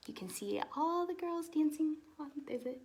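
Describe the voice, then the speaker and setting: Female storytime host, higher-pitched and very animated, reading a picture book to kids with friendly explanatory asides. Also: normal speed. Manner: expressive, lively, explaining asides to children.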